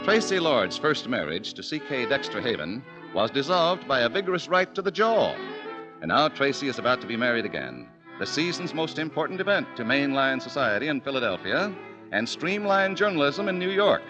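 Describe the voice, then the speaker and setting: A man's voice: mid-range voice, very animated, a little fast, lo-fi sound. A male announcer introducing a 1940s radio drama in a formal, declamatory manner. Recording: old broadcast with music bed.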